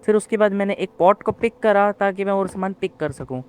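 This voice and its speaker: A man's voice: high-pitched, brisk, slight background noise. A man casually telling the story of his gameplay, colloquially, with English words mixed in. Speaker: gaming video narrator.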